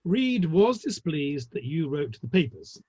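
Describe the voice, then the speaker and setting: A man acting a tactful, polite Victorian gentleman of the theatre in a play reading, slightly hesitant. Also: on the high side, sing-song, a little slow, slightly rough, no echo, full character voice. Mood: tactful, placating. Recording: dull, lo-fi online audio.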